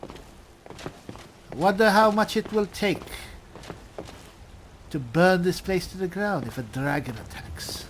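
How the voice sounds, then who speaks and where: A man's voice: high-pitched, expressive, takes it slowly, pauses often, background noise. A man roleplaying a haughty elf as he comments on a fantasy game, dry and condescending. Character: haughty male high elf spellsword. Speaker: gamer, roleplay series host.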